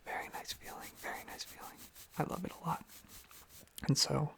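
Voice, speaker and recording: Someone rambling softly in a breathy, husky ASMR whisper close to the microphone, casually repeating little phrases. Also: normal speed. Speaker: ASMR video creator.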